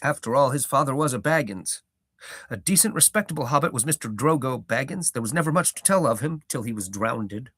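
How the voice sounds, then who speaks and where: A man's voice: mid-range voice, lively intonation, brisk, fairly bright tone, slightly rough, dry recording, clean studio sound. A man voicing a garrulous old male hobbit gardener who rambles in a folksy, opinionated way. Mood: fond.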